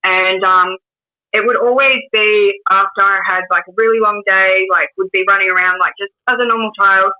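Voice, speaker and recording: A woman in her twenties with an Australian accent speaks as an interview guest, her pitch sitting in an ordinary middle range. She talks in a halting way, full of pauses and restarts. Her voice comes through a narrow, tinny line that sounds like a phone call. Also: fairly even intonation. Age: 20s. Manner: hesitant, many ums and restarts. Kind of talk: casual interview storytelling.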